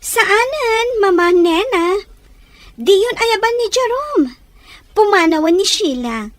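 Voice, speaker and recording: An actress playing a possessive, guarded young wife in a serial audio drama. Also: female voice, very high voice, expressive, slow pace, no echo, heavily acted. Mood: suspicious, defensive.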